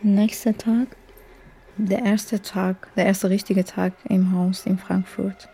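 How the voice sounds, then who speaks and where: A woman's voice: mid-range voice, level tone, slow pace, creaky, warm tone. A woman retelling a story aloud off the cuff in plain, short phrases. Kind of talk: improvised oral retelling. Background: faint music.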